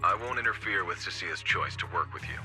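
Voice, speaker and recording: An actor playing a stern, curt commissioner in a video game, speaking formally and with composed authority. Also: male voice, sits low, fast, pushed and bright, soft background music.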